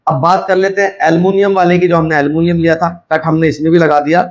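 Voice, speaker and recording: A man's voice: fairly high pitch, little pitch movement, quick pace, dull audio. A man giving a hands-on demonstration, explaining step by step and repeating his points as he instructs. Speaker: video host, scrap recycler.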